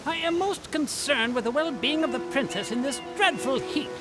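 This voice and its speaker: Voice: high voice, normal speed, natural voice. An actor playing a fussy, elderly male mushroom-creature steward, formal and fretful, in a video game cutscene. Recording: game audio with music.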